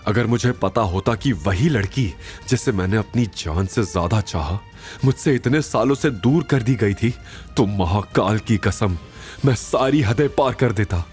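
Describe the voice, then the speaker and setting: A man's voice: lowish voice, unhurried, darkish tone, faint music behind, lightly acted. A man playing an intense, lovestruck and powerful young boss in an audio drama. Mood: pained resolve. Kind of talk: Hindi audio drama acting.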